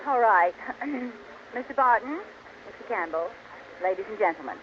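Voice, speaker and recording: A woman's voice: medium pitch, animated, moderate pace, lightly acted. A woman playing a scatterbrained, stubborn housewife in a 1940s radio sitcom, with theatrical comic delivery. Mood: flustered, stubborn. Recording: noisy, muffled old radio recording.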